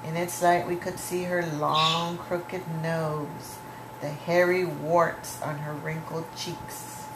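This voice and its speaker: Woman doing storytime, slow and dramatic, echoey room with noise behind her. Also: sits low, little pitch movement. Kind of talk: expressive storybook read-aloud to children.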